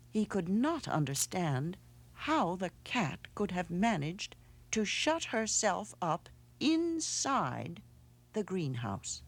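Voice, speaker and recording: A woman narrating a children's storybook aloud in a measured, dramatic way, with hushed pauses. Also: medium pitch. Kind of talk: expressive storybook read-aloud.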